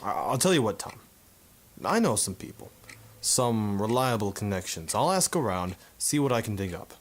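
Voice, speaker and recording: A man's voice: fairly low pitch, sing-song, normal speed. A man playing a breezy, wisecracking 1950s photographer and war veteran in a noir radio drama, with a glib, slangy, familiar manner. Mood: confident.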